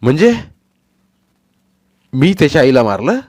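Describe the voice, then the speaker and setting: A bullying father who roars his words in raised, loud bursts, as a male storyteller acts him out. The threats come dry and close to the mic. His pitch leaps about. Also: big pitch swings, unhurried, no room sound. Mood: furious. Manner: shouting, threatening.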